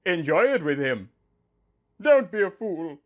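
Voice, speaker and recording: A man's voice: high-pitched, big pitch swings, a little slow. A man voicing a romantic, dreamy young newlywed wife in a storybook narration, with music behind. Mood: self-chiding. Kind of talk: fan fiction storybook dialogue.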